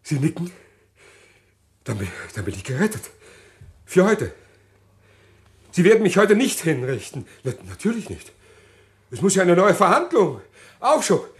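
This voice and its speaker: A condemned man in a German radio thriller. He is a cold-blooded schemer, deliberate in the telling but desperate underneath, and his voice rises and falls widely as agitation takes over. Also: high-pitched, huge pitch swings, heavily acted. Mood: trembling relief. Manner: detailed, deliberate recounting breaking into agitated pleading. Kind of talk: German radio crime drama acting.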